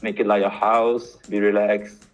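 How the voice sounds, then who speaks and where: A man with a very low-pitched voice hosts a livestream session and reads out questions from the chat. He talks in a relaxed, chatty way, often with loose fillers. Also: unhurried. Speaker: host reading questions from the live chat. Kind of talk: livestream AMA.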